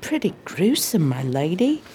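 A devoted, deferential policewoman's assistant narrating a radio mystery. Her voice is soft-edged and dark, very varied in pitch, and politely storytelling, with faint background noise. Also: sing-song, measured pace. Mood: deferential, curious. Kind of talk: radio drama narration and dialogue.

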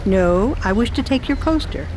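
A woman acts out an intrepid lady traveller from 1910 in a radio play. She is genteel and composed, politely holding her ground. Clear background noise sits under the voice. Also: on the high side, animated, moderate pace, darkish tone, lightly acted, low-quality recording. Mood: polite, firm. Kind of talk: radio drama acting.

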